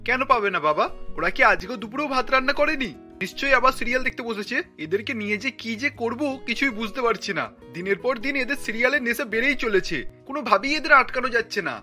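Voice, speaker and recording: A woman's voice: a little high, expressive, fast, sharp tone, soft background music. A woman voicing an exasperated, scolding grown son in a cartoon.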